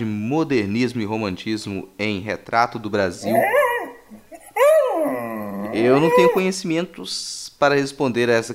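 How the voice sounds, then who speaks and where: A man with a Brazilian accent hosting a podcast casually, with joking, mock-serious asides. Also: medium pitch. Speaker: podcast host. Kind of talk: casual, playful podcast presentation.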